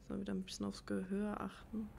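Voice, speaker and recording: A woman's voice: mid-range voice, little pitch movement, fast, gravelly, darkish tone, a little hiss or hum. A woman giving casual gameplay commentary, rambling and thinking aloud as she plays. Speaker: let's player. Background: game music.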